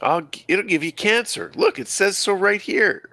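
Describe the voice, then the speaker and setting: Man reading as a nervous, creaky-voiced teenage boy. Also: sits high, animated, normal speed, pushed and bright, no room sound, voice pushed up, heavily acted. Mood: shocked. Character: anxious teenage boy, polite and uneasy. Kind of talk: script table read.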